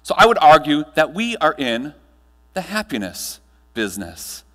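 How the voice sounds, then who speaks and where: A man giving a motivational keynote to a live audience, telling stories with dramatic pauses and emphatic delivery. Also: a little high, big pitch swings, fairly bright tone. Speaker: keynote speaker. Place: large room, live audience, amplified. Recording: echoey hall, long reverb.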